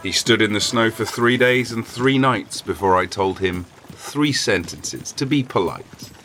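A man's voice: on the low side, unhurried, a bit bright, a little hiss or hum, voice pushed up. A man voicing a grumpy, haughty old swordsman who talks down to everyone, in a storybook reading. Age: older. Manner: haughty, drawling, dismissive.

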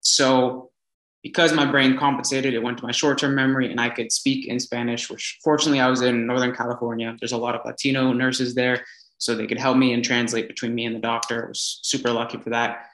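Guest, man in his 20s, mid pitch, earnest and relaxed with fillers, roomy echo. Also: a little fast. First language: English. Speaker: interview guest. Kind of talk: casual storytelling interview. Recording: echoey room.

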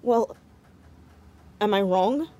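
A woman's voice: mid-range voice, extremely slow, dull audio, noisy background. A woman playing an anxious, determined teenage girl sleuth in an audio drama, speaking hesitantly but insistently. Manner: hesitant, stammering yet insistent. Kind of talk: naturalistic audio drama acting.

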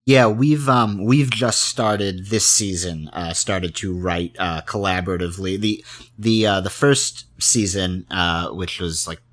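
A man hosting a relaxed interview chat, agreeable and a little halting as he talks. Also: sits low. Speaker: podcast host and interviewer.

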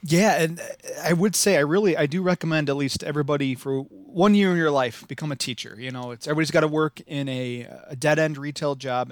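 Midwestern guy, 30s, eager rambling talker, mid pitch, dry close recording. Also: no room sound. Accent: American Midwestern. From American Midwest. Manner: rambling, enthusiastic, many restarts. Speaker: podcast guest, living history reenactor. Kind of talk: casual podcast interview.

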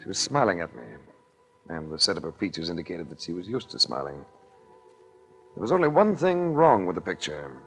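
An actor with an American accent playing a suave, hard-boiled investigator in a 1940s radio drama, speaking and narrating in a smooth, dry way. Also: male voice, low-pitched, very animated, brisk, fairly bright tone, soft background music, exaggerated voice, low-quality recording. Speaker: lead actor and narrator of a detective series. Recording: vintage 1940s radio recording.

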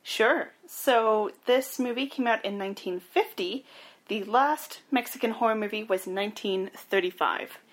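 A woman's voice: a little high, measured pace. A woman co-hosting a casual podcast, chatting and recapping information in an informative way. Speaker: podcast co-host.

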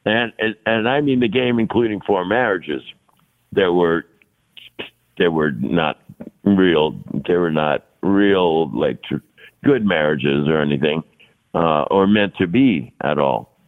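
A man's voice: low-pitched, slow pace. A man in his sixties, a podcast guest talking casually and at length, often repeating words and laughing between thoughts. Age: 60s. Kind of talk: casual interview conversation. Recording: tinny phone-like line.

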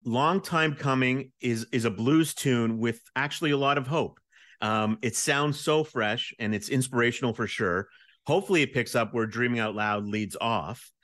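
A man's voice: mid-range voice, normal speed, fairly bright tone, dry recording, clean studio sound. A man interviewing a musician, asking enthusiastic questions full of praise and starting with "um".

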